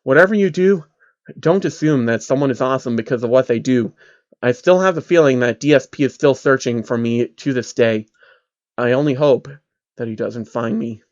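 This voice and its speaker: A man's voice: medium pitch, normal speed, a little mellow, muffled recording. A man narrating as host of a dramatic creepypasta reading, telling a first-person story to listeners. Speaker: narrator, channel host reading fan stories.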